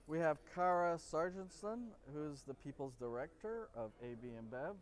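A man's voice: on the high side, lively intonation, moderate pace. Jokey man hosting outdoors at a bar. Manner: loose, jokey, stumbles over names. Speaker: podcast co-host. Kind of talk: casual chat reading from a prompter. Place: outdoor courtyard of a city bar.

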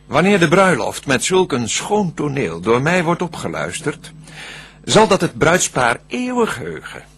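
An actor playing a cunning, smooth-tongued devil in a Dutch verse radio drama, declaiming deliberately with a husky voice. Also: male voice, a little high, wildly swooping pitch, fairly bright tone, exaggerated voice. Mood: scheming, gloating. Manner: theatrical, scheming, deliberate declamation. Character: cunning, smooth-tongued male devil plotting to tempt mankind.

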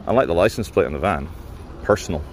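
A man's voice: low voice, animated, fast talker, a little hiss or hum. A man from Scotland, filming himself outdoors on a handheld phone, gives teasing, chatty commentary and argues politely. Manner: playful, teasing, politely argumentative. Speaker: vlogger filming a confrontation. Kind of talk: chatty, joking running commentary to camera. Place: outdoors by a gate and car park. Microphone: handheld phone camera.

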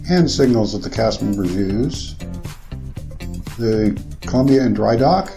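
A man reading a scripted documentary narration in a steady, factual way. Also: on the low side, a little slow, vocal fry, faint music behind. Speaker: narrator of a park history video.

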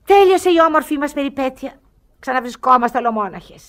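A woman's voice: sits high, expressive. An actress playing an older aristocratic lady, worldly and wry, speaking formally and theatrically in a radio comedy. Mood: wry resignation.

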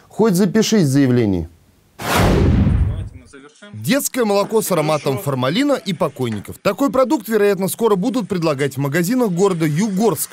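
A man's voice: on the high side, a little mellow. A man in his thirties presenting the news from a studio with scripted, sardonic commentary.

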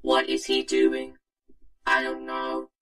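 A synthetic vocoder voice playing an animated talking logo creature in a flat, buzzy, robotic monotone. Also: takes it slowly, loud music behind the voice. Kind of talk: scripted cartoon dialogue through a vocoder.

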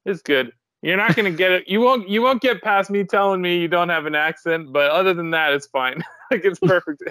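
Young guy cohost chatting casually, really bright voice, bit on the high side. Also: a little fast, no echo. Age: young adult. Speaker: podcast co-host. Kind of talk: casual chat. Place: indoor home room with bookshelves.

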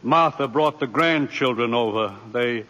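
A man's voice: mid-range voice, unhurried, bright, forceful tone. An actor playing a flustered older judge and grandfather in 1940s radio style. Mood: troubled. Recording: noisy vintage radio recording.

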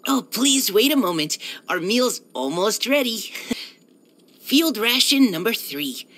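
A woman's voice: on the high side, big pitch swings, slow pace, heavily acted. A woman voicing a polite, earnest young male military surveyor in a video game, with a hesitant, helpful manner. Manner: hesitant, soft-spoken politeness, eager to help. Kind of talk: video game character voice-over.

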